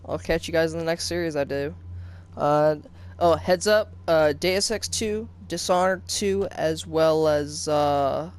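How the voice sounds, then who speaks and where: A man's voice: on the high side, measured pace, smooth. A young man giving casual, off-the-cuff gaming commentary to viewers in a hesitant, rambling, informal way. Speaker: gaming video host.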